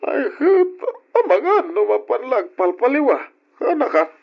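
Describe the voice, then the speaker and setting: A man's voice: extremely high pitch, sing-song, measured pace, gravelly, exaggerated voice. A man playing a concerned older uncle with a Filipino accent, giving fatherly, emphatic counsel in an old radio drama. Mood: urgent advice. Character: concerned older uncle, animated, advising his nephew. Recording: dull old radio recording, music behind.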